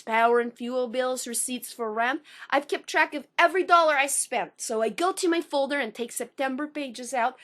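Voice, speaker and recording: A woman in her thirties with slightly unusual speech, at times taken for a foreign accent, introducing and performing a spoken word poem with careful phrasing and pauses. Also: high-pitched, a bit bright. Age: 30s.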